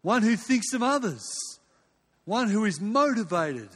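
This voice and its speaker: A man's voice: sits high, very animated, a little slow, breathy edge. An older man with an Australian accent giving a sermon in an informal, conversational storytelling way, with rhetorical questions and repetition. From Western Australia. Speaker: preacher. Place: large hall or church. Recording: reverberant hall sound.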